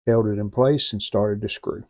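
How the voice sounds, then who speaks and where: A man's voice: on the low side, steady tone, moderate pace, no echo, studio quality. An American man narrating hands-on repair work in a folksy, plainspoken way, thinking aloud as he goes. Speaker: host of a radio restoration channel.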